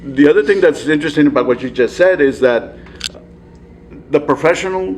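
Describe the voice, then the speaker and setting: A man's voice: on the high side, fairly quick, a little hiss or hum. A man hosting a casual sports interview, upbeat and chatty, with frequent laughs and a South Texas accent.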